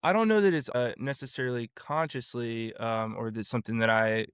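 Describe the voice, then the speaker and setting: A man in his forties from Florida, speaking as a guest on an interview show. His voice is smooth and resonant at a middle pitch. He rambles and restarts as he tells his story, on a dry, close mic. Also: a little fast, fairly bright tone, no echo. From Florida, USA. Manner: rambling, with many restarts and fillers. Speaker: vocal coach and music producer guest. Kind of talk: casual interview conversation.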